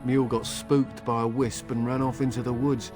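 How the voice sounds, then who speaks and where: A man's voice: mid-range voice, little pitch movement, moderate pace, natural voice. A hapless travelling merchant, played by a man for a fantasy video game. He talks in a nervous, rambling way and keeps tacking questions onto his own remarks. Faint music plays behind him. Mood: nervous, exasperated. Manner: flustered, rambling, self-questioning. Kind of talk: video game dialogue acting.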